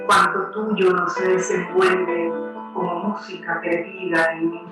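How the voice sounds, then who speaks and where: A woman's voice: fairly low pitch, level tone, normal speed, over music. A woman reading poetry as one of its translators.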